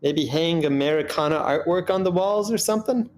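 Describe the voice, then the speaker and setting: A man's voice: a little high, a little fast, darkish tone, lightly acted. A man playing an earnest, placating husband in a screenplay table read. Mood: tender, hopeful. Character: earnest, placating husband hiking with his wife. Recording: background noise on a live meeting.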